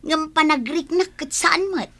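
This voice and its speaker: A woman acts a confrontational friend in a village radio drama. She is loyal and meddling, with a raised, bright voice that has an indignant edge. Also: high-pitched, unhurried, exaggerated voice. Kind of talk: Ilocano radio drama acting.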